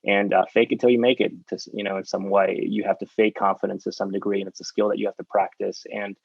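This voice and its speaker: A rambling, talkative surgeon talks through his advice with plenty of ums and restarts. His voice is low and gravelly. His delivery stays fairly flat, and the recording sounds dull and dry. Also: quick pace, no room sound. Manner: talkative, self-described loudmouth, rambling with many fillers. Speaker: surgeon mentoring residents. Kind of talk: panel discussion, advice to trainees.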